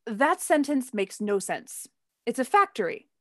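A woman's voice: a little high, very animated, measured pace, dry recording, studio quality. A woman playing a playful, sarcastic grown son in a radio play. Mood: wry, playful. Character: Herbert, teasing grown son in a family.

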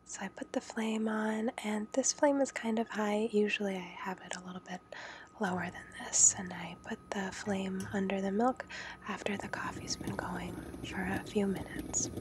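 A woman giving a whispered ASMR coffee tutorial in her home kitchen, gently explaining each step. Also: mid-range voice, steady tone, moderate pace, noisy background. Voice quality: soft unvoiced whisper. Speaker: home coffee maker demonstrating.

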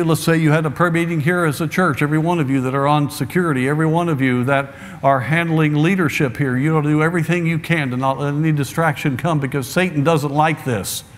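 A middle-aged man preaching from a church pulpit, exhorting the congregation earnestly and often dropping to a quieter tone. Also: fairly high pitch. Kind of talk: sermon. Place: large church sanctuary, behind a wooden pulpit. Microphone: pulpit microphone. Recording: long hall reverb in a large sanctuary.

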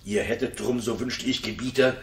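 Reproving, loyal lord for classic radio drama, male actor: formal, mid-pitched, set back from the mic. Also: fairly even intonation, moderate pace, creaky, lightly acted. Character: loyal, frank, reproving nobleman at court. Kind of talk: 1960s German radio drama acting. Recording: roomy, distant 1960s radio recording.

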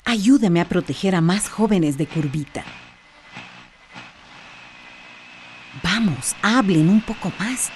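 An actress playing a feisty older female vigilante, muttering to herself deliberately. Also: lowish voice, a little mellow, slight background noise. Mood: urging.

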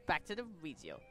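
A man casually commenting on a game playthrough and reading aloud in a halting, stumbling way. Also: sits high. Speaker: gaming streamer reading along.

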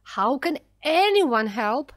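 A woman voicing an exhausted, frightened and bitter man in a storybook, shouting with scorn and despair. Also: high-pitched, very animated, slow pace, dry recording, clean studio sound, voice pushed up, heavily acted.

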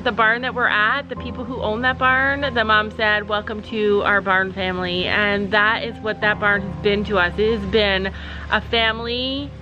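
A middle-aged woman speaks to her phone camera on a porch, her talk halting and full of sighs and ums. Her voice sits in a mid-range pitch. Clear background music plays under her words. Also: steady tone, highs cut off. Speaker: family vlogger, mother. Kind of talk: casual vlog talk to camera. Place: outdoor porch. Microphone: phone held at arm's length.

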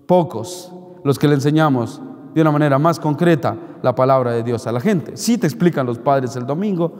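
A middle-aged man with a Mexican accent, a priest giving an animated homily at Mass, joking and scolding with rhetorical questions into a handheld mic. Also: mid-range voice, moderate pace. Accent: Mexican Spanish. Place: large church, indoors. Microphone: handheld microphone. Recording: long church reverb.

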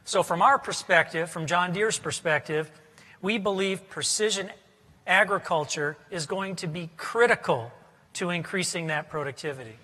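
Bright, high-voiced man on a panel. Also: normal speed, long reverb tail. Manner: confident corporate explainer, gesturing. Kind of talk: panel discussion. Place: conference stage in a large hall. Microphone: lapel.

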